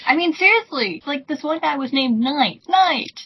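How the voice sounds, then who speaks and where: A woman with a husky voice chiming in with casual commentary on a lo-fi recording. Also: sits high, animated, moderate pace.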